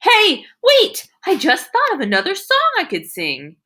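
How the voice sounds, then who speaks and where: A woman's voice: very high voice, wildly swooping pitch, unhurried, bright, forceful tone, voice pushed up, exaggerated voice. A woman voicing an eager, guileless, odd schoolboy in a storybook, blurting things out earnestly. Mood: excited.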